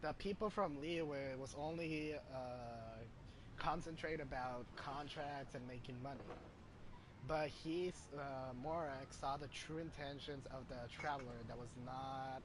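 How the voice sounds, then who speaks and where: A man giving casual game commentary as a streamer, halting as he searches for words. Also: medium pitch, noisy background. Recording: loud game music under voice.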